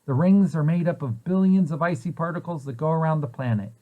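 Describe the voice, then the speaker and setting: A man's voice: a little high, brisk, dark tone, lo-fi sound. A man reading a children's picture book aloud in an explanatory way, posing questions and then answering them. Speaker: preschool site director reading aloud to children.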